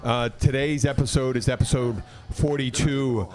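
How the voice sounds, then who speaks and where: A man's voice: medium pitch, steady tone, normal speed. A man hosting a podcast in casual banter, rambling along in a teasing, argumentative way. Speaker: podcast host.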